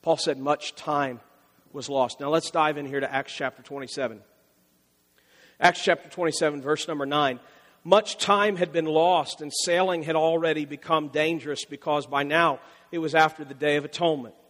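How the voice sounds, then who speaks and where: A man's voice: on the high side, fairly even intonation, moderate pace, fairly bright tone, in a large hall. A man preaching a sermon as pastor, in a conversational style that repeats phrases and rises for emphasis.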